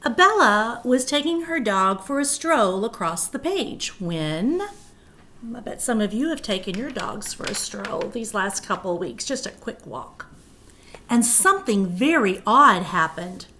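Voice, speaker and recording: A woman teacher reading a picture book aloud to young children, animated and expressive, with chatty asides. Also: mid-range voice, unhurried.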